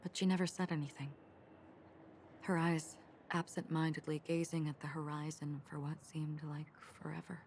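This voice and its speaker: A woman voicing a composed, melancholy traveler who recounts tales in a measured, poetic way in a video game. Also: sits low, level tone, a little hiss or hum. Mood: wistful, measured. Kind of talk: scripted video game dialogue, storytelling. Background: loud music.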